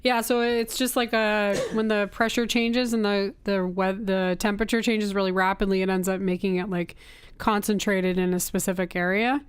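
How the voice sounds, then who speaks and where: A woman's voice: mid-range voice, flat delivery, normal speed, clear, resonant tone, no room sound. A woman, a teacher co-hosting a podcast, chatting casually and thinking aloud, her voice worn from teaching.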